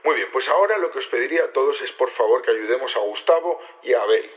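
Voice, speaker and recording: A man's voice: mid-range voice, expressive, fast talker, lightly acted. Methodical male leader, acted, slightly dull radio sound. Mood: composed, businesslike. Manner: methodical, polite, explanatory. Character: composed male leader briefing his conspirators, methodical. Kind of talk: naturalistic audio drama acting.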